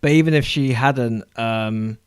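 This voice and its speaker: A man's voice: mid-range voice, measured pace, clean tone, no room sound, clean studio sound. A man talking casually as a panelist in a fan discussion podcast.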